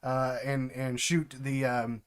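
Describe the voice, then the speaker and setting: A middle-aged man, stuffed up from allergies, chatting casually on a live stream from home, halting and full of fillers. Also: mid-range voice, little pitch movement, smooth. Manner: halting, with many fillers and restarts. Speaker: streamer and developer mentor.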